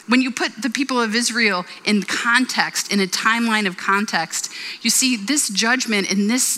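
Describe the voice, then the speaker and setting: A woman's voice: medium pitch, sharp tone. A woman in her forties preaching a sermon in a church, earnest and conversational, with personal stories. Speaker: pastor. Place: church hall. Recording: reverberant church hall.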